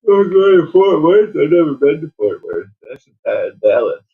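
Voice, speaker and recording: A man's voice: fairly high pitch, very animated, normal speed, slightly rough. A man co-hosting in casual, offhand banter, often contradicting, heard through dull, muffled sound.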